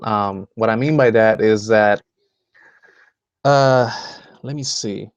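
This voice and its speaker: A man's voice: on the low side, takes it slowly, clean tone, no echo, highs cut off. A man from the Dominican Republic hosting a motivational video call, talking in a rambling way with many fillers and restarts. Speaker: host.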